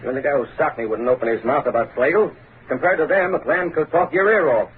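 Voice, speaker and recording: Man playing a fast-talking brash radio-drama reporter. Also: fairly high pitch, close to an everyday voice. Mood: indignant. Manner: slangy, cocky, needling. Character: brash, hot-tempered, wisecracking male newspaper reporter. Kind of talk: 1930s-40s radio drama acting. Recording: muffled old radio recording, faint noise.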